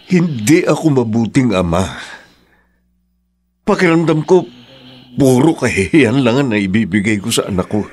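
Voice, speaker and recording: A Filipino man acting in a radio drama as a troubled, gruff father fresh out of jail who blames himself. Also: medium pitch, lively intonation, normal speed, pauses often, darkish tone, natural voice. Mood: ashamed.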